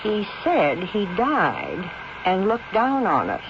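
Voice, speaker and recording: An actress playing a loyal, plain-spoken old housekeeper, kindly and dutiful, folksy and deferential, in 1970s radio drama style. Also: female voice, mid-range voice, huge pitch swings, slow, heavily acted. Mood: dutiful, worried. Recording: dull, noisy 1970s radio drama recording.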